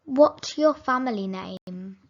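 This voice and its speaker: Slow, careful woman reading English phrases. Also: medium pitch, animated, muffled recording. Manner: each sentence said slowly and clearly, then repeated. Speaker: English teacher.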